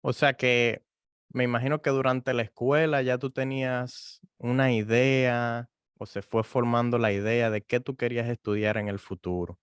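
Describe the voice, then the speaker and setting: A man's voice: medium pitch, fairly even intonation, moderate pace, dry recording, studio quality. A man hosting a science podcast, introducing a guest and asking friendly, informal questions. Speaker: podcast host. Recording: music clearly behind the voice.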